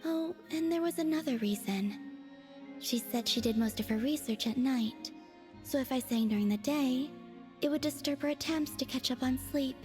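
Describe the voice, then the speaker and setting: A woman playing a detached, quietly innocent young woman in a video game, wistful and understated, with pensive pauses. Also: high voice, soft background music, natural voice. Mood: matter-of-fact.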